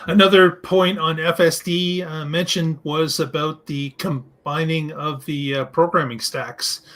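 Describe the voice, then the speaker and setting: A man with a slightly higher-than-average voice talks as a podcast co-host. He phrases things deliberately and haltingly, in the way of unscripted discussion commentary. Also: steady tone, a bit bright.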